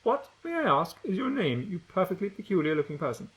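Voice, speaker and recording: A man's voice: high-pitched, big pitch swings, fairly quick, voice pushed up. A man voicing a bossy, sneering schoolgirl bully who speaks in a prim, over-polite way.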